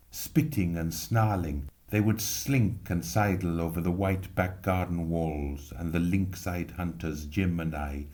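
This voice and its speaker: A man reading a literary story aloud with expressive narration. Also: low-pitched. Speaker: reader.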